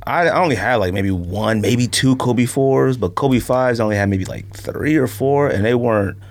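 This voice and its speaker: A man's voice: medium pitch, normal speed, creaky. A man in casual podcast chat, slangy and opinionated. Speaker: podcast panelist.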